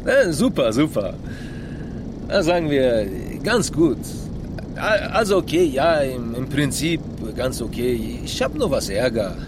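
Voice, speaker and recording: An actor playing an excitable, aggrieved Turkish taxi driver in a radio drama, chatty, emphatic and indignant. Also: male voice, medium pitch, a little slow. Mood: evasive, troubled. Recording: noisy car interior with traffic.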